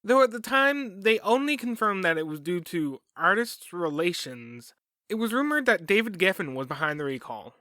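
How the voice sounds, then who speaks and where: A man's voice: sits high, big pitch swings, moderate pace, pushed and bright, dry recording, clean studio sound. A man reading scripted documentary narration as a podcast host.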